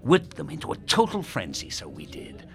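An Irish actor playing a self-important, manipulative radio host and politician, telling stories in a pompous, theatrical way. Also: male voice, medium pitch, huge pitch swings, normal speed, creaky, faint music behind. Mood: boastful, showy. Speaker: actor in an audio drama. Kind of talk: audio drama acting as a radio host.

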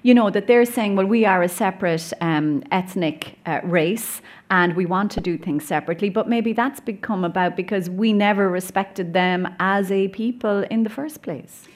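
A woman hosting a live radio panel from a hotel restaurant studio, brisk and upbeat as she steers her guests. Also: mid-range voice. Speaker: radio talk show host.

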